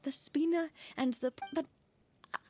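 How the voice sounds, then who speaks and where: An actor, a woman, playing a kind-hearted, resolute young woman boss in a dramatic game, with an earnest, measured, emotive delivery. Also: high-pitched, steady tone, a little mellow, lightly acted. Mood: sorrowful, earnest.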